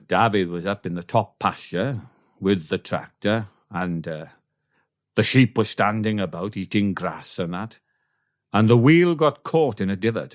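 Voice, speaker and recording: Man playing low-voiced Welsh sheep farmer. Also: no echo, clean studio sound, close to an everyday voice. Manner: hesitant, matter-of-fact. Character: plain-spoken, awkward rural sheep farmer. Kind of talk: comic radio play acting.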